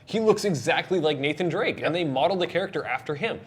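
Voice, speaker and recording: A man's voice: fairly high pitch, expressive, fast. A man in a casual group discussion, talking in an opinionated, emphatic way. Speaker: show panelist. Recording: noticeable background room noise.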